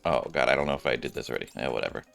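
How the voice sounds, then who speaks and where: A man's voice: a bass voice, rapid-fire, vocal fry, fairly bright tone, clear, resonant tone, faint music behind. A man streaming a video game, giving casual, rambling commentary as he reacts aloud.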